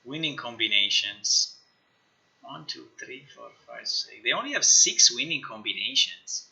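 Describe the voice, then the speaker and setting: Sharp-voiced man chatting on a livestream. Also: mid-range voice, a little slow, gravelly, dull audio. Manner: informal, excitable, repeats words and phrases. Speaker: live streamer, game developer. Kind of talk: casual livestream chat, thinking aloud while working.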